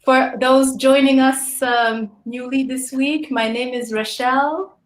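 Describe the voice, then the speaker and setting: A woman's voice: sits high, fairly even intonation, slow pace. A woman from Canada co-hosting an online meditation session, explaining the plan in a friendly way with frequent ums. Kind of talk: warm welcoming introduction. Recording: roomy echoey sound.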